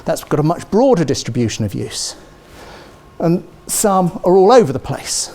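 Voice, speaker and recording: A middle-aged British man giving a university lecture, animated, checking in with the audience with "okay?" and "yeah?", recorded on a lapel mic in a large lecture theatre. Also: fairly high pitch, moderate pace. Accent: British English. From Britain.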